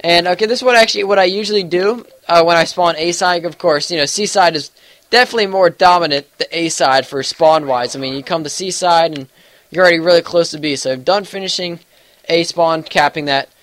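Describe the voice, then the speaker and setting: A young man with a stuffy, allergy-congested voice talks through gameplay in a loose, rambling way. His voice sits a little high for a man, and he keeps hedging with fillers as he goes. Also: a little fast, fairly bright tone, no room sound. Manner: rambling, full of fillers and hedges. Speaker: gaming YouTuber. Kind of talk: casual gameplay commentary.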